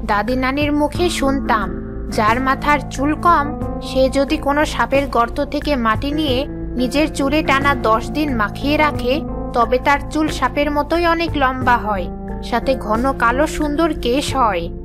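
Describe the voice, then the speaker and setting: A woman's voice: high-pitched, a little slow. A woman voicing a mocking, mischievous village neighbour in a children's fairy-tale cartoon. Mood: sly advising. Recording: music behind the voice.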